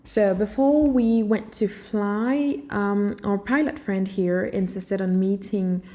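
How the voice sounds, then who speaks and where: A woman with a soft-edged, mellow tone narrates a vlog. She explains aviation work in a casual, conversational style, at a medium pitch. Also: fairly even intonation, slow pace. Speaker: air traffic controller hosting a vlog.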